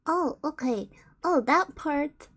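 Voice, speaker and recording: A woman's voice: high-pitched, expressive, takes it slowly, a bit bright, no room sound. A woman streamer reading fanfiction aloud, giggling and stumbling over the words as she goes.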